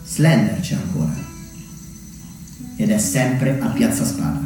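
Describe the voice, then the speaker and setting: A man from Umbria in Italy performing a reflective theatrical monologue as a solo storyteller, measured and pausing for weight. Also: medium pitch. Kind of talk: theatrical monologue, narrated memoir. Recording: very echoey, distant mic, music underneath.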